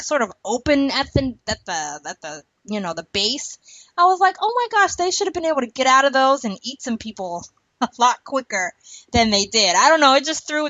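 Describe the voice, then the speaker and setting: Female podcast host, casual and opinionated, higher register, dry but dull recording. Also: a bit bright, no echo. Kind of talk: casual discussion of a TV show. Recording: dull, muffled lo-fi audio.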